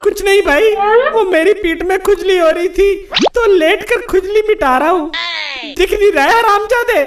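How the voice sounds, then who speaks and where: A man's voice: extremely high pitch, normal speed, background noise. A man voicing a timid, whining, boastful cricket batsman in a comic cartoon. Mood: pained, whining. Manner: whiny, exclaiming. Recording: distant voice under loud music.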